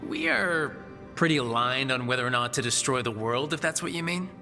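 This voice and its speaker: An actor playing a wry, easygoing young hero in a fantasy video game, with a casual delivery. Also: male voice, medium pitch, big pitch swings, a little fast, vocal fry, bright, forceful tone, full character voice. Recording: long reverb, music behind.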